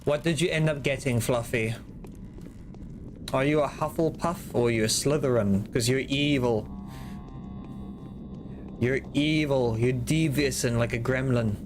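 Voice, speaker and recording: A man's voice: medium pitch, a little slow, pauses often, background noise. A man streaming a game live, chatting loosely and rambling to his viewers and to himself. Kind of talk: casual live-stream chat while playing.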